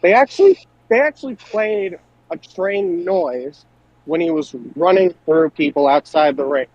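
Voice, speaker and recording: A man's voice: on the high side, a little slow, a little mellow, dry recording, muffled recording. A man co-hosting a podcast in casual group chat, often posing questions to the others. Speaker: wrestling podcast co-host.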